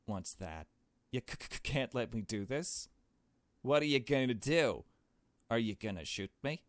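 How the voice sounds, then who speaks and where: A cocky young villainess played by a man from a visual novel. She taunts in a breezy, mocking way and stretches words out with big swings of pitch. The recording is dry. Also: expressive, a little slow, no echo, close to an everyday voice. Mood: taunting.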